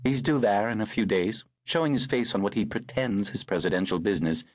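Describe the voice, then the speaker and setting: A male narrator voicing a brisk, wry aristocratic older woman who talks in a clipped, commanding way. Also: lowish voice, no room sound, natural voice.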